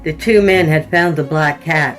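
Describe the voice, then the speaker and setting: A woman with a low voice narrates scripted weird fiction as a podcast host. She tells it deliberately and descriptively. Faint music sits behind her reading. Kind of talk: scripted horror storytelling.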